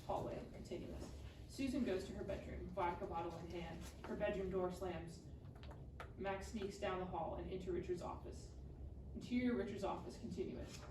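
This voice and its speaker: A woman narrating stage directions from a script at a live table read. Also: on the low side. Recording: roomy, distant mic, clearly noisy.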